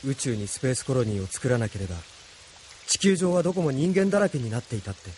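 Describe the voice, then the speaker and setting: A male actor plays an earnest, troubled young man in a Japanese audio drama. His delivery is introspective and animated in pitch. There is faint hiss and rain behind him. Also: fast talker, lightly acted. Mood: reflective.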